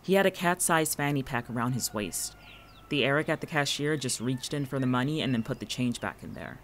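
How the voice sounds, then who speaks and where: A male actor plays a guarded and watchful man in an audio drama. He talks in a dry, exasperated, conversational way at a middling pitch. Noticeable ambience sits behind his voice. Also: animated, a little fast, a bit bright, a little hiss or hum, close to an everyday voice. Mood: dry, sceptical. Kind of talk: naturalistic audio drama dialogue.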